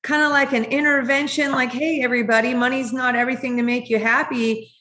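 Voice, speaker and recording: A woman's voice: sits high, little pitch movement, brisk, pushed and bright. A woman hosting a real estate podcast, upbeat and bubbly, introducing guests and asking questions. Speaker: podcast host. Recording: roomy echo.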